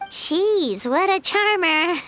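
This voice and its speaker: A woman voicing a small, chirpy, childlike fairy companion who is nosy and eager, in animated video game dialogue. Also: very high-pitched, slow, slight background noise, heavily acted. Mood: chatty. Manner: refers to self in third person, exclamatory.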